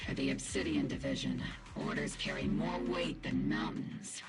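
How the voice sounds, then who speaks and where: A woman's voice: rumbling low, fairly even intonation, moderate pace, gravelly. A woman voicing a stern, smirking army captain in a video game, with a dry, commanding manner. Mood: grave. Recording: loud music underneath. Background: loud game music.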